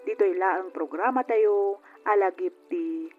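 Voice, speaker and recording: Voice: mid-range voice, slow. An announcer reading a scripted closing for a radio drama programme. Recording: very muffled radio recording with music.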